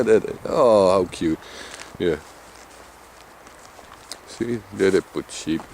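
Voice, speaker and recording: A deep, somewhat mumbling man with a Dutch Limburg accent talks casually to his camera out in open countryside. His voice sits low, and a faint outdoor hum lies behind it. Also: darkish tone. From Limburg, Netherlands. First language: Dutch Limburg dialect. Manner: deep voice, mumbling, chatty with small laughs. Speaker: vlogger. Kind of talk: casual outdoor vlog narration.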